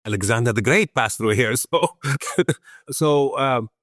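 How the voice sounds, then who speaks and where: A man's voice: mid-range voice, a little slow, a bit bright, dry recording, studio quality. A man from Iraq speaking as an expert guest in an interview, explaining things with emphatic repetition. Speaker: guest expert, conservation organization founder.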